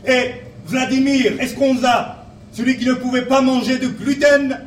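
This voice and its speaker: A man's voice: very high voice, fairly even intonation, a little slow, distant mic. An actor playing a male police sidekick, earnest, hesitant and a little bumbling, in a comic audio drama. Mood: matter-of-fact. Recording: very echoey, off-mic, noisy industrial setting.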